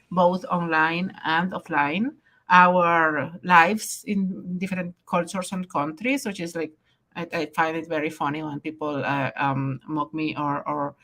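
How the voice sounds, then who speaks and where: A woman's voice: on the low side, a bit bright. A woman hosting a podcast, thinking aloud with many hesitations and restarts. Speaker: podcast host. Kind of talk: conversational podcast talk.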